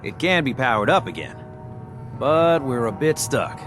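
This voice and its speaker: American fixer dude in a game, really animated, colloquial, bit of music behind. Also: male voice, medium pitch, unhurried. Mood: frustrated, practical. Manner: colloquial, emphatic. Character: plain-spoken, determined handyman in a ruined world. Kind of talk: video game character acting.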